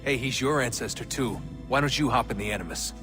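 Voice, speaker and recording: A man plays a young American son in a video game drama, resentful and sarcastic, pushing back with open frustration. Loud music plays behind him. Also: mid-range voice, quick pace, lightly acted. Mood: questioning. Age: young adult.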